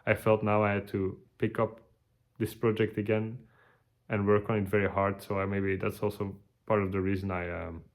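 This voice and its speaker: A tired man, giving a halting, casual video update with many restarts. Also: fairly low pitch, little pitch movement. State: tired, burned out. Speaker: vlogger.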